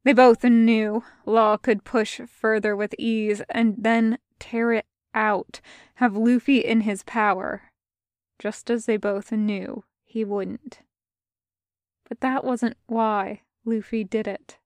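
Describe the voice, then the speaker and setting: A young woman reading fan fiction aloud in a soft, measured narration. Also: fairly high pitch, fairly even intonation, dry recording, clean studio sound. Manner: soft, measured, hushed in tender passages. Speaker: podfic reader.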